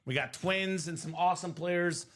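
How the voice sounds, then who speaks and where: Bright-voiced male card break host. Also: sits high, normal speed. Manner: upbeat, salesy running commentary. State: voice a bit worn from singing. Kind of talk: live stream selling chat.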